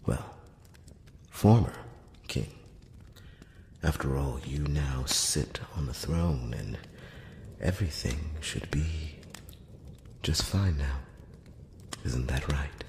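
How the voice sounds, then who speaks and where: A man voicing an ancient, courtly male elf emissary in a soft, whispery, close-up ASMR roleplay, speaking with formal, slightly condescending courtesy. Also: echoey room, long reverb tail, a little hiss or hum, full character voice. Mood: courtly, wry. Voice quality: very low, soft, often slipping into whisper. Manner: slow, hushed, measured, with formal courteous phrasing. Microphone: close-up microphone.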